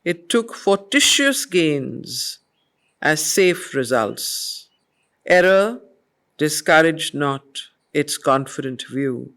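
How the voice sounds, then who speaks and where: A middle-aged woman with an Indian accent, reading poetry and explaining it in a deliberate, emphatic, didactic manner as a study circle teacher. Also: low-pitched, animated, a bit bright. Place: group study session.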